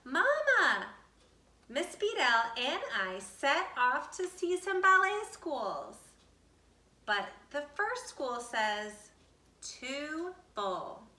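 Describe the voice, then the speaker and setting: A woman reading a children's picture book aloud expressively, with deliberate, dramatic phrasing. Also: sits high, big pitch swings, pushed and bright. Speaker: storybook reader. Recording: roomy echo, distant from the mic.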